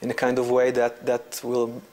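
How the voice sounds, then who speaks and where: A man's voice: mid-range voice, completely flat delivery, moderate pace, a little mellow. A man speaking thoughtfully in an interview, searching for his words.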